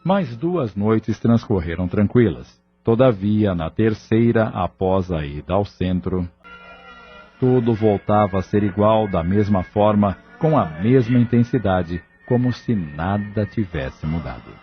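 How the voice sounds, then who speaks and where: Lowish-voiced male audio novel narrator. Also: expressive, a little slow. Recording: muffled lo-fi audio with music.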